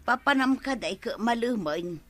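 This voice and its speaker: A woman's voice: on the high side, expressive, a little slow, no echo, soft background music. A woman with an Ilocano accent playing a brash, carefree village wife in a comedy radio drama.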